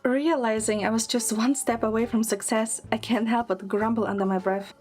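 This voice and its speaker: A woman's voice: a little high, fairly even intonation, normal speed, darkish tone, soft background music. A woman performing scripted first-person narration and lead dialogue in an audio drama.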